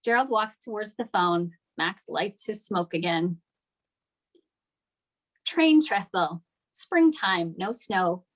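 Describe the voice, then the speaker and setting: A woman's voice: medium pitch, expressive, slow pace, pauses often. A woman narrating the stage directions of a script at a table read.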